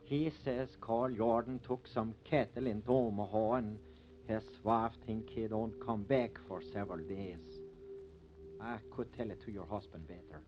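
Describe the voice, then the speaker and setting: A man's voice: lowish voice, fairly even intonation, normal speed, natural voice. An actor playing a plain-spoken country lawman with ungrammatical folksy speech, in the style of 1950s radio drama. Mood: matter-of-fact. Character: folksy male rural sheriff and gruff, defensive deputy. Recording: muffled, noisy old radio recording.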